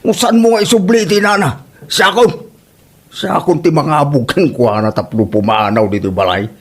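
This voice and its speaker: Man acting a husky, grumbling Ilocano father-in-law. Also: fairly high pitch, brisk. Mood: indignant. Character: exasperated, fault-finding old father-in-law grumbling at home. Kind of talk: Ilocano comedy radio drama acting.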